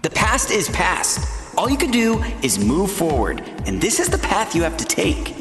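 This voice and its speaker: Music plays under a campy game-show host whose highish voice swings wildly in pitch. He is bossy and gleeful, announcing everything with dramatic flair. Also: huge pitch swings, unhurried, exaggerated voice. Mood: smug, coaxing. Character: theatrical, gleefully bossy male reality dating show host. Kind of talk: scripted game dialogue and show narration. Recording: echoey hall reverb with loud music.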